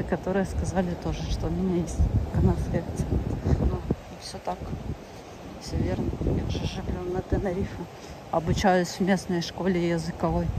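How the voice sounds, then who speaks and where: A chatty woman talks to her phone camera as she walks along a seaside path, gushing about the view. Loud wind buffets the mic and surf crashes behind her. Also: fairly low pitch. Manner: chatty, enthusiastic, exclaiming at the view. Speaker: vlogger. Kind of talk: casual walking vlog. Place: windy seaside promenade outdoors. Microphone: phone camera held while walking. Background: strong wind and crashing waves.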